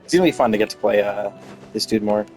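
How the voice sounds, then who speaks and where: A man's voice: fairly low pitch, fast talker, darkish tone. A man giving casual, rambling and playful commentary as he plays through a video game. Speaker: gameplay streamer narrating a playthrough. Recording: music playing behind the voice. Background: video game music.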